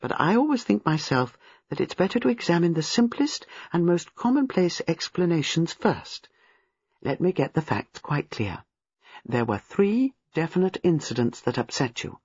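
A woman reading a storybook, playing a gentle, shrewd old lady with a soothing, measured manner. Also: fairly low pitch, big pitch swings, husky, no echo, highs cut off, full character voice. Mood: calm, practical. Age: older.

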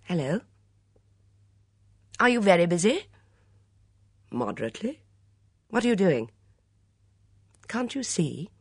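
A woman's voice: on the low side, very animated, takes it slowly, long pauses, slightly rough, no room sound, clean studio sound, pitched above the performer's own voice, exaggerated voice. A woman voicing a cool, reserved young woman in a story, clipped and dismissive.

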